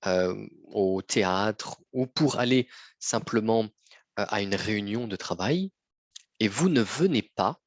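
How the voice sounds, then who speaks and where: Male teacher, patient and repetitive, dry close sound, low-ish breathy voice. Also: dry recording. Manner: patient, repeats phrases, often checks with "d'accord?". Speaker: French teacher. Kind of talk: slow lesson for learners.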